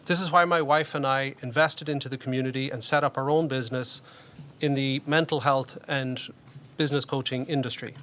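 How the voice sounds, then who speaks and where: A man's voice: mid-range voice, steady tone, fairly quick, a bit bright. A man with an Irish accent, reading a prepared statement as a candidate in a local election. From Ireland. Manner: formal, upbeat speech delivery.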